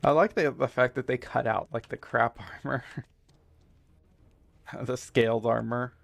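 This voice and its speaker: A man from the United States whose first language is Spanish, giving halting, filler-heavy casual commentary while streaming a game playthrough. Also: medium pitch, steady tone, gravelly, no room sound. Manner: halting, full of fillers and restarts. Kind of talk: casual let's-play commentary.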